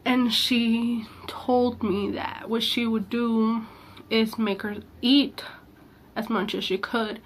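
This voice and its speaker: A young woman vlogging about her personal past, earnest and halting, with a slightly sick-sounding voice. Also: on the high side, fairly even intonation, slight background noise. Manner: hesitant, halting, many fillers and restarts; candid and earnest. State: sick, voice a little off. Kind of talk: personal confessional vlog.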